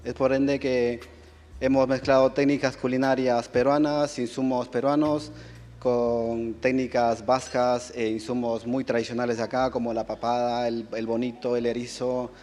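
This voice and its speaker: Soft-spoken man with a Peruvian accent. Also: medium pitch, level tone, in a large hall, a little hiss or hum. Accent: Peruvian Spanish. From Peru. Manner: soft-spoken, halting, with many fillers. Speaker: chef contestant. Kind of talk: interview answers at a cooking contest. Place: auditorium stage.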